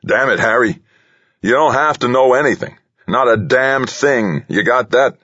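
A man's voice: low-pitched, very animated, moderate pace, creaky, fairly bright tone, husky, pitched above the performer's own voice, full character voice. A man voicing a big, hot-tempered, foul-mouthed bully of an engineer in an audiobook, belligerent and roaring. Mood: angry. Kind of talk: audiobook character voice. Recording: slightly dull, roomy recording.

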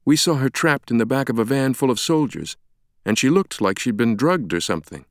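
A man voicing a brisk, blunt woman doctor in an audiobook, direct, assertive and matter-of-fact. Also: mid-range voice, lively intonation, dry recording, studio quality, pitched above the performer's own voice, lightly acted. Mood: urgent. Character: brisk, blunt young woman doctor who takes charge.

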